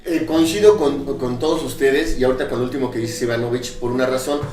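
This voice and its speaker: A man's voice: mid-range voice, fast talker, darkish tone, reverberant, distant mic. A man, a guest on a casual panel talk, chiming in with enthusiasm.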